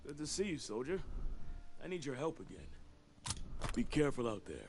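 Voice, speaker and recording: Brisk war game commander barking orders, voice swinging up and down, loud music under it. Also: male voice, mid-range voice, sing-song. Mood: commanding.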